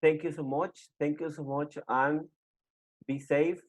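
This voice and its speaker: A man hosting a webinar on a video call, giving informal closing announcements in a halting way and repeating himself. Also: fairly high pitch, flat delivery. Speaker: webinar host. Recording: muffled video call audio.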